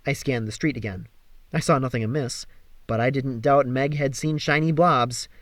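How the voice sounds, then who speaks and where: A man narrating a story from a script in an expressive, theatrical way. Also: medium pitch, normal speed, dry recording, studio quality. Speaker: amateur audiobook reader.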